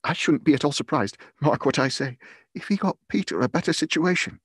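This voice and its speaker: A man's voice: a little high, expressive, a little fast, husky, no echo, studio quality, pitched above the performer's own voice. A man playing a tender, grieving poor father. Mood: warmly earnest. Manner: earnest, emotional, gently rambling. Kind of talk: storybook character voice in audiobook.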